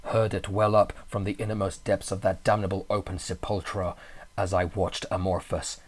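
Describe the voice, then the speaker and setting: A man with a husky voice narrating a horror story in a grave, theatrical manner. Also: sits low, little pitch movement, moderate pace. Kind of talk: dramatic horror audiobook narration.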